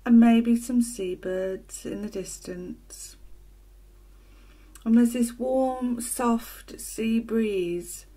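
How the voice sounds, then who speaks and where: A woman narrating a guided meditation as a teacher, soft and soothing, with gentle, deliberate phrasing and long pauses. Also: mid-range voice, breathy edge. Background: ocean waves sound loop.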